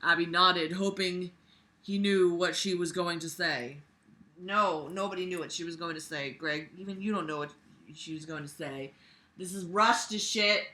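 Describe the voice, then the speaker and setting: A woman's voice: fairly low pitch, moderate pace, pushed and bright. A sarcastic, exasperated woman reading a book aloud and mocking it with profane commentary and theatrical emphasis. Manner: theatrical, exaggerated emphasis with sudden outbursts. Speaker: host of a comedic book-reading series.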